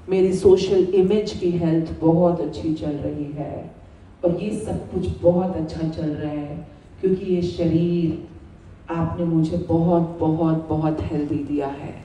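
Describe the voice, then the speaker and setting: An Indian-accented woman guides a gratitude meditation in a low, unhurried voice. She repeats soothing affirmations deliberately, leaving space between phrases. The recording has a roomy echo, as if the mic is at some distance. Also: dark tone, slight background noise.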